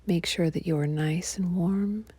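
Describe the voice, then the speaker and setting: Female yoga nidra guide: soft half-whisper, mellow tone, close dry sound, calming delivery. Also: lowish voice, a little slow, breathy edge, no echo. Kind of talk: guided relaxation meditation.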